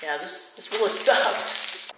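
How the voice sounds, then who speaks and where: Someone doing a naive eager kid in a parody school film, over the top, pitch all over, echoey n noisy. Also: animated, slow pace. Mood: dejected. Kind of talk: spoof educational film acting. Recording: echoey room with clear noise.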